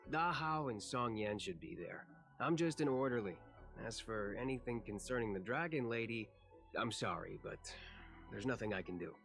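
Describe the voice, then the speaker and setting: An actor playing a reluctant, evasive orderly in a video game, talking as if keeping a deal quiet. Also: male voice, fairly low pitch, animated, normal speed, gravelly, soft background music. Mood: dismissive.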